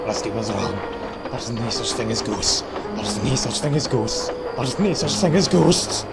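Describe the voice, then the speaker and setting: Cocky scaredy little train engine, voice swoops about, kinda slow, loud music behind. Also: male voice, medium pitch, lively intonation, vocal fry. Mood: nervous. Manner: blustering, quick to panic. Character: stubborn, boastful, easily frightened little steam engine, male. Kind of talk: audio drama character acting.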